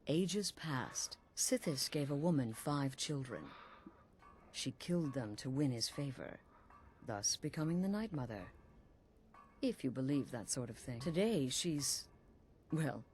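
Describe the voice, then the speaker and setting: A woman voicing a cool-headed assassin leader, briefing a recruit in a calm, dry, matter-of-fact way. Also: extremely low pitch, measured pace, a little hiss or hum. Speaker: assassin guild leader giving orders. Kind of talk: video game character dialogue.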